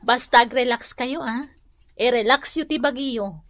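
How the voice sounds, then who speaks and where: A woman's voice: fairly high pitch, unhurried, a bit bright. A woman acting a caring grown daughter in a radio drama, with an Ilocano accent. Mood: reassuring. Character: caring grown daughter soothing her mother.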